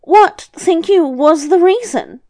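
Woman acting a high-pitched sly old grandmother. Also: expressive, slow, mellow, exaggerated voice. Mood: plaintive. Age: older. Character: devil's old grandmother, sly, scolding yet soothing.

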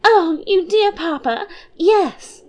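A woman voicing a giddy, whimsical young princess who talks in high, excited bursts. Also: takes it slowly, full character voice.

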